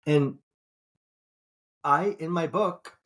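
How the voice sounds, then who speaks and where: A man's voice: fairly high pitch, fairly bright tone. A man co-hosting a casual podcast, thinking aloud with many fillers and restarts and casual swearing. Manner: slow, searching, with frequent restarts and fillers. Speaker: podcast co-host.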